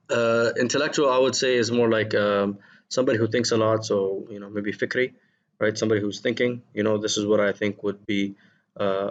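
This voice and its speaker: A male teacher giving a recorded vocabulary lesson to his students, gentle and encouraging, pausing often with ums and repeated words. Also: on the low side, muffled recording.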